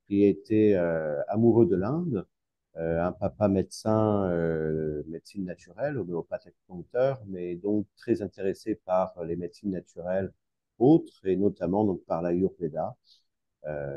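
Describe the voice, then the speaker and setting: Deep-voiced French man, hesitant. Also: no echo, highs cut off. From northern France, Lille. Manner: hesitant, many fillers and restarts. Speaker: guest, teacher. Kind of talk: conversational interview.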